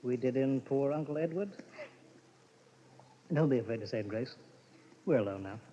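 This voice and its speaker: An actor playing a bitter, sardonic drunken husband in a mid-century radio melodrama, brooding and taunting. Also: male voice, mid-range voice, expressive, moderate pace, mellow, a little hiss or hum, close to an everyday voice. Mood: sardonic, defiant. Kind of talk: 1940s-50s radio drama acting with brooding narration. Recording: muffled vintage radio recording with music.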